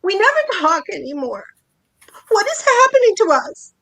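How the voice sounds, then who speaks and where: A woman acts out a touchy, needy wife in a short stage play. Her voice is very high and swings widely as she nags and dramatizes her grievances. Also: big pitch swings, normal speed, bright, forceful tone, heavily acted. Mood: hurt, accusing. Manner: nagging, dramatic, aggrieved. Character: needy, touchy wife fretting about aging.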